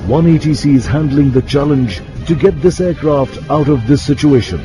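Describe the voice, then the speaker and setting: A man's voice: a little high, moderate pace. A man delivering scripted documentary narration, as a television narrator. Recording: loud music bed under the voice.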